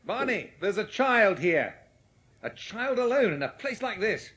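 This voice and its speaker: Audiobook drama part: startled, compassionate man, highish and extremely animated. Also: a little slow, noisy background. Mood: astonished. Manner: exclaiming, pitying. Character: startled, pitying man finding an abandoned child.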